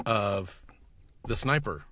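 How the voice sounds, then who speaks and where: A man's voice: on the low side, animated, takes it slowly, sharp tone. A man in a casual chat as a podcast guest, talking in an enthusiastic, rambling storytelling way. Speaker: podcast guest, audio producer and director.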